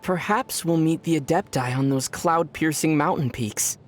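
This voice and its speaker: A man voicing a calm, thoughtful young adventurer in a video game, speaking in a measured, storytelling way with a wry edge. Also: on the high side, soft background music, lightly acted.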